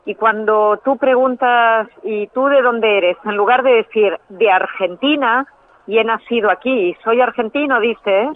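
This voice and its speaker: A woman guest calling in by telephone, explaining ideas in a measured way and sometimes correcting herself mid-phrase. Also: a little high. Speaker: phone-in guest, trainer in biological decoding. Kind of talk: explanatory interview answers. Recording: tinny phone line.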